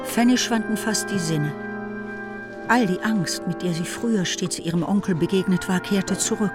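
Woman reading literary narration for a radio drama, measured and expressive, mid-pitched, music behind her.

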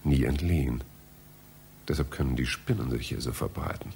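An actor playing a polite, anxious, bumbling library visitor in a German radio play, with a hesitant, puzzled manner. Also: male voice, very deep voice, moderate pace, gravelly, a little mellow, a little hiss or hum. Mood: puzzled muttering. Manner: hesitant, puzzled, muttering to himself.

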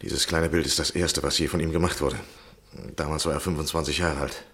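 A gentleman journalist in a vintage radio drama. His voice is very deep, and he speaks as an obsessed, frustrated man justifying himself in theatrical, literary phrases. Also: level tone, a little fast. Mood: proud, explaining. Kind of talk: 1960s German radio play acting.

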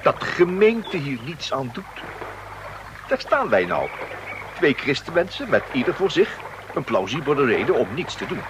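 An actor in a comic radio play as a fussy gentleman bystander, polite, pedantic and wordy. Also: fairly high pitch, sing-song, normal speed. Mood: indignant. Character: fussy, verbose gentleman bystander, a reserve officer. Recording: noisy outdoor scene in radio play.

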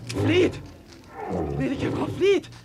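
A man's voice: very high voice, wildly swooping pitch, drawn-out words, vocal fry, very noisy, full character voice. An actor playing a frightened young squire urgently warning his lady in a radio drama. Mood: panicked warning. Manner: urgent, swinging from whisper to cry.

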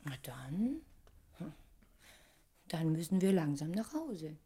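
An actress playing an effusive, career-driven stage star in love, with gushing, theatrical delivery in a radio play. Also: female voice, sits low, big pitch swings, slow pace, warm tone, husky, heavily acted. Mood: enthusiastic. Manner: theatrical, gushing, dramatic swings from exclaiming to whispering. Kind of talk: German radio play acting.

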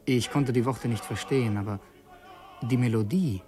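An actor playing an earnest, brooding revolutionary who narrates in an intense, halting way in a radio play. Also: male voice, mid-range voice, dark tone, soft background music, natural voice. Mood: melancholy. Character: earnest, brooding male revolutionary narrating his secret errand. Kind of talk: radio play, first-person dramatic narration.

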